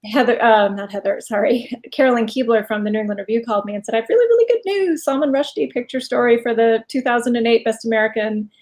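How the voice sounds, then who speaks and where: A woman with a fairly high voice talks casually as an interview guest. She is a writer who also teaches, and she speaks candidly in an anecdotal way, slipping into quoted speech. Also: fast talker, few pauses, darkish tone. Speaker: guest short story writer and writing teacher.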